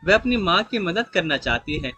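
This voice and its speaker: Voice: mid-range voice, very animated, normal speed, sharp tone, husky. A narrator reading a scripted moral story in a storytelling style.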